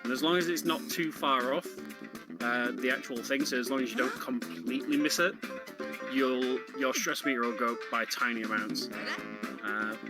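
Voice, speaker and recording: A man commentates live on a video game in an informal, explanatory way. His voice sits at an average pitch, with game music clearly playing behind him. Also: normal speed. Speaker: speedrun commentator.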